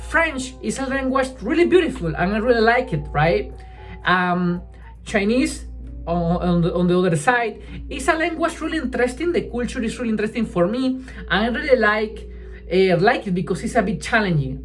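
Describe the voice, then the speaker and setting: A woman's voice: a little high, expressive, soft background music. Woman speaking English as a Spanish speaker. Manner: halting, restarts often, keeps adding "right?". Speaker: language-learning vlogger. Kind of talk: casual chat to camera.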